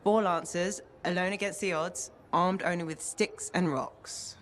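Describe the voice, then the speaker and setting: An actress playing a bookish, opinionated and dry-witted code-breaker in a video game. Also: female voice, fairly low pitch, fairly even intonation, measured pace, close to an everyday voice. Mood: opinionated, playful. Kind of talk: video game dialogue acting.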